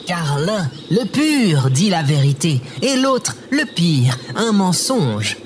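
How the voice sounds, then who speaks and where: Slow, pompous, melodramatic little robot oracle. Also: male voice, sits high, sing-song, a little hiss or hum, exaggerated voice. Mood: grandiose. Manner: overdramatic, pompous, archaic declamation. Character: small yellow robot hamming up a Shakespearean oracle. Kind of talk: theatrical scripted audio drama.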